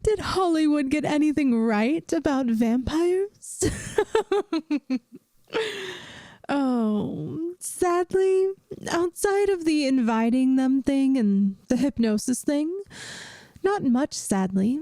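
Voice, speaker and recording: A woman voicing a kindly, wry vampire math teacher in an ASMR audio roleplay, speaking gently and soothingly straight to the listener. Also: sits high, lively intonation, slow, no room sound, clean studio sound, full character voice. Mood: mildly exasperated.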